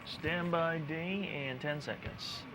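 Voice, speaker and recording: A man's voice: on the high side, takes it slowly, very noisy. A man directing a live newscast, calling out clipped cues and muttering short asides, with programme audio playing underneath. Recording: control room with programme audio behind.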